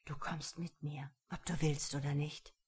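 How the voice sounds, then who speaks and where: A woman voicing a commanding, possessive and self-assured male seducer in a romance audiobook, low, hushed and menacing. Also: flat delivery, moderate pace, slight background noise, lightly acted. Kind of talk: romance audiobook character dialogue.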